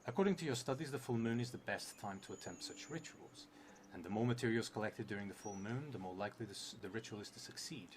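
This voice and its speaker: A man in his thirties narrating a solo tabletop game on a stream, unhurried, thinking aloud and murmuring asides. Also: lowish voice. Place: indoor room at a theatre, at a table. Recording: noisy room with steady background noise.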